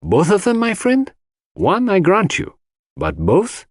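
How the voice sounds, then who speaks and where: A man voicing a shrewd, courteous, theatrical detective in an audiobook, speaking formally and deliberately. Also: high voice, huge pitch swings, no echo, clean studio sound, pitched above the performer's own voice, heavily acted. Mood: grave, knowing. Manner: formal, grave, deliberate, questioning. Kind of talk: audiobook character dialogue.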